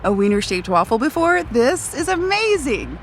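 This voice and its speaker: Giggly young romcom gal, teasing, highish voice, bit of traffic behind her. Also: sing-song, moderate pace. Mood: teasing, giddy. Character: playful, teasing young woman on a New York outing. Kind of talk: romantic comedy audio drama acting, conversational.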